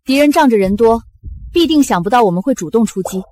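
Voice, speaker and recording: A woman's voice: a little high, expressive, quick pace. An actress playing a proud, resolute woman general in a Chinese costume drama. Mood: assured. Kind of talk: costume short-drama acting. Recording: loud background music over dialogue.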